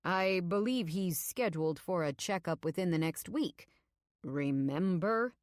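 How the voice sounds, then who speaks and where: A woman's voice: mid-range voice, animated, slow, dry recording, voice pushed up. An icy, smug male villain played by a woman narrator for an audiobook. He speaks with clipped formality and ominous courtesy, in an accent that is not quite British, recorded close and dry. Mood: stern, insinuating. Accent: ambiguous, not quite British. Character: stern, menacing tall husband, icy and smugly sinister. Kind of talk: audiobook character acting.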